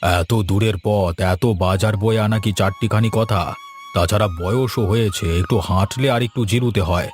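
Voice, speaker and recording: Guy doing old village husband for a cartoon, deep-ish voice, thrifty and placating, folksy, bit of music behind. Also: moderate pace, lightly acted. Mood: weary, explaining. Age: older. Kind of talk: cartoon voice acting.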